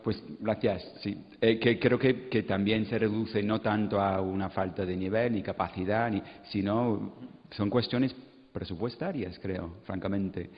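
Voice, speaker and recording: Low-voiced hesitant man in a hall. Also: steady tone, unhurried. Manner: hesitant, searching for words. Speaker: radio presenter on conference panel. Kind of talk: informal, improvised panel answer. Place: conference hall, amplified event. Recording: muffled, reverberant hall recording.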